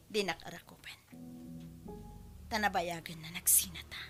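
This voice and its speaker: A woman playing a gentle, easily hurt young bride-to-be in a radio drama, with an Ilocano Filipino accent and a soft, hesitant delivery. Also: on the low side, steady tone, moderate pace, over music, natural voice. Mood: hurt, subdued.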